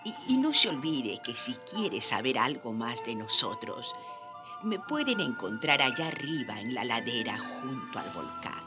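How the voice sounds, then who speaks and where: Old native woman in a radio drama, slow and grave, voice swings a lot, music behind. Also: rumbling low, very animated, exaggerated voice. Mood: grateful. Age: older. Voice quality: hoarse when reading forcefully.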